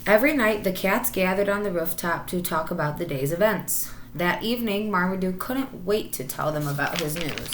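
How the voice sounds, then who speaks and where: A woman's voice: fairly low pitch, lively intonation, normal speed, hardly any pauses, noisy background. Woman reading a storybook in an echoey room. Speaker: teacher reading aloud. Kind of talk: expressive children's storybook narration.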